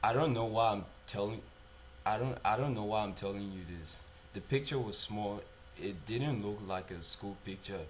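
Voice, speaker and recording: A young man playing a guilt-haunted narrator in a confessional dramatic monologue, halting, subdued and repeating himself. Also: fairly low pitch, a little hiss or hum, close to an everyday voice. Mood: guilty, troubled.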